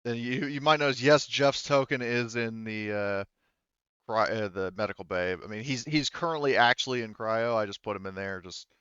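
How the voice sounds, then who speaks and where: A man's voice: medium pitch, moderate pace, fairly bright tone, clean tone, no echo, highs cut off. A man hosting a tabletop roleplaying session as game master, explaining things informally and a little hesitantly.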